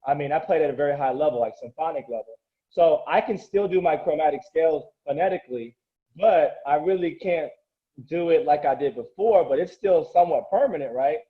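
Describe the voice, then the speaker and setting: A man's voice: fairly high pitch, brisk, phone-quality sound. A man who coaches and grew up speaking Korean, talking earnestly and motivationally in a casual interview, often restarting his sentences.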